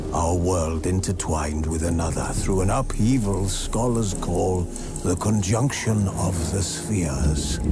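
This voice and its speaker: Game cinematic: fanatical preacher, gravelly and theatrical, slow emphatic delivery, condemning and rousing a frightened flock. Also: male voice, lowish voice, heavily acted. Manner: grave, scornful, slow and emphatic, swelling to loud cries. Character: fanatical male preacher rallying war-torn people against sorcery. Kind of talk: theatrical fire-and-brimstone oration in a game cinematic.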